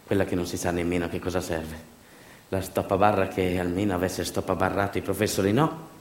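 A man performing a theatrical storytelling monologue for radio theatre, emphatic and repetitive, moving between hushed asides and louder delivery. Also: sits low, level tone, a little fast. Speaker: stage actor and monologue storyteller. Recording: roomy echo, music behind.

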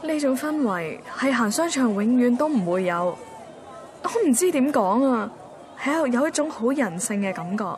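An actress plays a young woman with a Hong Kong Cantonese accent and a fairly high voice. She tells her story in a wistful, colloquial, inward-looking way, as in a radio drama. Clear street noise runs behind her. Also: expressive, moderate pace, a little mellow, a faint crowd, natural voice. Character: wistful young woman photographer, sentimental and timid. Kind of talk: radio drama first-person narration.

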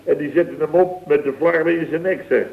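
Blustering boss, tinny old radio. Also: male voice, on the high side, fairly quick, gravelly, very noisy. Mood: boastful, amused. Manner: rambling, peppered with "hè" and "zeg". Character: blustering, boastful male building contractor boss, easily flustered. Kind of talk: radio comedy acting. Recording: tinny, band-limited vintage radio recording.

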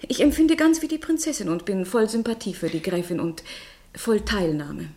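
A woman's voice: mid-range voice, expressive, normal speed, darkish tone, close to an everyday voice. An actress playing a haughty, flirtatious noblewoman in a radio play, speaking in an eloquent, mocking way. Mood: needling. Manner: mocking, eloquent, formally polished. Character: haughty, witty, flirtatious noblewoman rebuking her married lover. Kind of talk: German literary radio play acting.

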